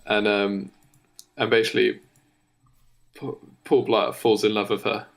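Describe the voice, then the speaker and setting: A man co-hosting a podcast, improvising a comic story in a casual, halting way. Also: lowish voice, flat delivery, gravelly. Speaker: podcast co-host.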